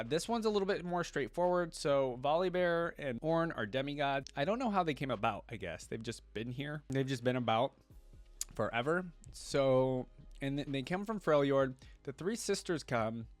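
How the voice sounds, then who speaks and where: A man's voice: mid-range voice, dry recording. A man giving casual reaction commentary as a video host, thinking aloud in informal, slangy language.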